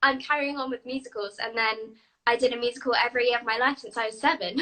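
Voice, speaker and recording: Bubbly British girl, high and bright. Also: quick pace, low-quality recording. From England. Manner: bubbly, giggly, eager. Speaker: guest actress. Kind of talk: live video interview.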